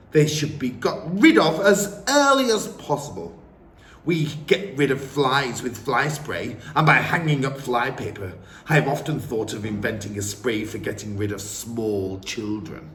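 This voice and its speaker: A man voicing a huge, cruel, furious headmistress, with booming, scornful ranting. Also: a bit bright, slight background noise. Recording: roomy, faint ambience.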